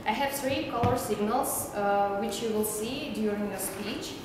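A woman's voice: mid-range voice, measured pace, background noise. Careful young woman in an echoey hall. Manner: careful, reading from notes. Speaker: meeting timer. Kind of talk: explaining rules to an audience. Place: large indoor meeting room.

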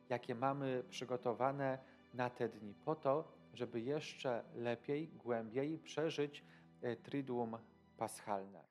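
Slow-speaking priest, man in his thirties. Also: medium pitch, darkish tone. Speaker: priest commentator. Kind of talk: devotional commentary to camera. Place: indoor studio.

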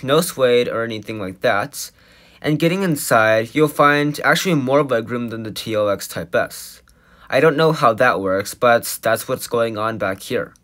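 A man's voice: medium pitch, a bit bright, dry recording. A man reviewing a car, listing its features in a plain, matter-of-fact way as he walks around and sits in it. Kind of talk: walkaround car review narration.

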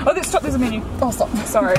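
A woman in her twenties chats casually for a vlog. Her voice is high and very lively, with an unhurried pace. The camera audio is roomy and full of loud noise. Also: over a noisy crowd. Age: 20s. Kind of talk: casual chat.

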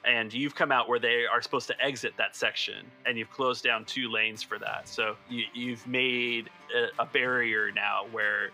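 A man runs a tabletop roleplaying game as game master, improvising scenes and rulings. His voice is very bright and forceful at an average pitch, with music underneath. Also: fairly even intonation, normal speed, hardly any pauses.

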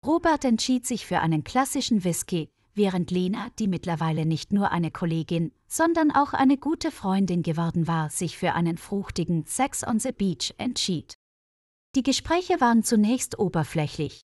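A woman's voice: medium pitch, sing-song, normal speed, a little mellow, no room sound, studio quality. A woman reading a scripted story for language learners, enunciating carefully as narrator.

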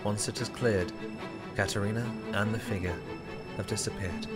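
A male narrator reads an audio drama's stage action in a fairly low voice. Music plays clearly behind him. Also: steady tone, normal speed.

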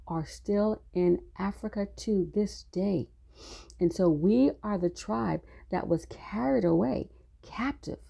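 A woman's voice: mid-range voice, vocal fry, dark tone. A woman teaching a Bible study class, reading scripture aloud in an earnest, instructive way and addressing her listeners directly.

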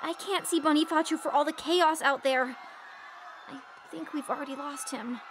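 Woman acting an excitable, high-pitched mob princess. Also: normal speed, a little hiss or hum. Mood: alarmed. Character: excitable, naive, polite young mob heiress. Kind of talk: comedy audio drama acting.

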